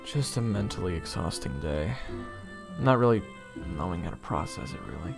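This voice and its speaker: A weary young soldier acted by a man tells his tale in a low, creaky voice that swings widely in pitch. He is wry, sarcastic and easily exasperated, casual as in an audio roleplay. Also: lively intonation, normal speed, music in the background. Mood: downcast. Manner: wry, exasperated, casual first-person storytelling. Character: Xander, weary sarcastic young male city soldier telling his story. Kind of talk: audio roleplay narration and dialogue.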